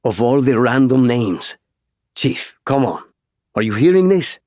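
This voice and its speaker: A man acting a loyal everyman pilot for an audiobook. He is wry, excitable and expressive, with a sarcastic edge and a dry, close studio sound. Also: mid-range voice, measured pace, no echo, voice pushed up. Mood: incredulous. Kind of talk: audiobook character voice.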